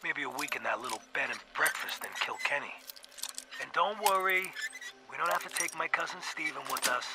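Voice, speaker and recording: A man's voice: a little high, fairly quick, natural voice. A man playing a sardonic mob boss. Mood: smooth. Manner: calm, sardonic gangster drawl. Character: smooth-talking male Boston mob boss, cold and menacing. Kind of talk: recorded audio log in a video game. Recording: noisy game audio capture.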